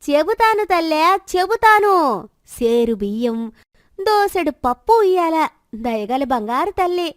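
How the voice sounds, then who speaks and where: Woman acting high-voiced rustic fortune-teller, coaxing and prophetic. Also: very animated, measured pace, no echo, studio quality, exaggerated voice. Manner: rustic dialect, sing-song endearments, solemn assurances.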